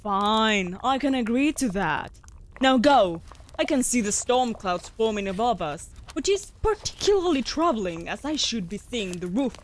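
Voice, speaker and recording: A woman playing a sharp-tongued, bossy wolf familiar in a fantasy audio roleplay, speaking in a haughty, sardonic, formal way. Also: a little high, big pitch swings, unhurried. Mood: smug, impatient.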